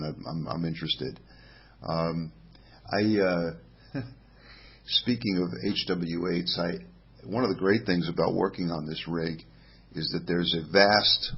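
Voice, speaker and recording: A man's voice: sits low, slightly rough, muffled recording. A middle-aged man hosting a casual solo podcast from home, rambling with many fillers and restarts. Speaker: podcast host.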